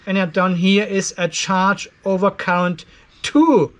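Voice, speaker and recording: A man's voice: sits high, little pitch movement, measured pace, fairly bright tone. A man in his fifties giving a casual, conversational explainer, going step by step and openly critical. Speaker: host of an off-grid solar channel. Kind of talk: casual explainer vlog to camera. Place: cluttered garage workshop.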